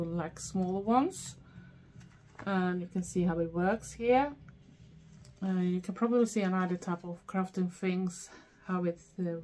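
A woman's voice: fairly low pitch, slight background noise. A middle-aged woman from Sweden with a Swedish accent, chatting casually as a vlogger through a haul, rambling with many fillers. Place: small dark room indoors.

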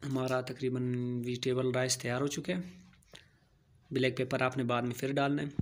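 A man's voice: mid-range voice, flat delivery, moderate pace, a little hiss or hum. A man narrating a home cooking tutorial, giving plain step-by-step instructions and repeating key phrases. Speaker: home cooking channel host.

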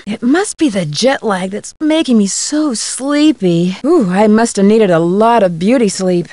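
A woman's voice: mid-range voice, dry recording. An actress playing a curious, upbeat young woman detective in a video game, with an inquisitive, scripted delivery. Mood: wry, sleepy. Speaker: game protagonist.

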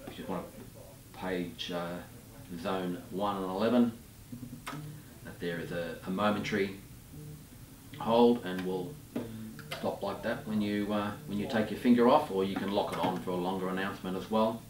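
A man in his fifties gives an unscripted product demonstration in a fairly low voice. He speaks haltingly, feeling for his words. The sound is roomy and set back from the mic, with faint noise behind him. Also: steady tone, a little mellow, distant mic. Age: 50s. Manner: hesitant, halting delivery.

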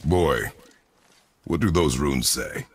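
An actor playing a stern, hardened warrior father in a video game, speaking in terse, gruff commands. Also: extremely low pitch, slow pace, full character voice. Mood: gruff, restrained. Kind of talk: video game character acting. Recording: game music behind voice.

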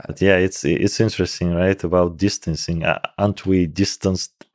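A man in his forties with a thick Russian accent, a native Russian speaker, talking casually and haltingly as an interview guest into a close microphone. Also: low voice, steady tone, no echo. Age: 40s. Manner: halting, hesitant phrasing. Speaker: interview guest, professor of computational biology. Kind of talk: casual podcast conversation. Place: small indoor podcast room. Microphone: close microphone on boom arm.

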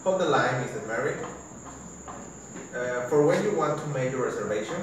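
A man's voice: mid-range voice, animated, normal speed, lightly acted. Young guy doing a polite hotel desk agent, formal and scripted, recorded far off in an echoey noisy room. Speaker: student. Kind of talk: classroom role-play phone call. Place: large classroom, indoors. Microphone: far camera.

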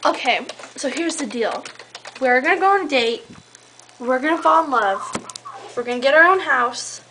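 High-voiced young woman teasing on a call. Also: expressive, moderate pace, sharp tone. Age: young adult. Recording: noisy amateur home camera recording.

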